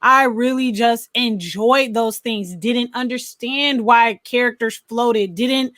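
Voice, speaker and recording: A woman co-hosting a podcast, talking through films casually in an animated, rambling, think-aloud way. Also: a little high, slow pace, dry recording, clean studio sound. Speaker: podcast co-host. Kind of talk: casual film discussion.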